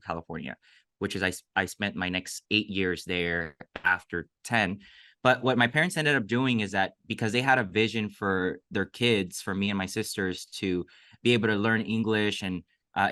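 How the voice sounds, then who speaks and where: Bright, low-pitched man telling his story. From Mexico. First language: Cantonese (Toisan). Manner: reflective, earnest, many fillers. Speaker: podcast guest. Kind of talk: casual storytelling interview.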